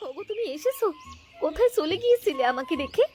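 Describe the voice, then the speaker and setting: Woman playing a high-pitched, fretful village wife. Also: sing-song, quick pace, darkish tone. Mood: upset. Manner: pleading, lamenting. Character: distressed, fretful village wife seeking her husband. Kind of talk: cartoon character dialogue. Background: music.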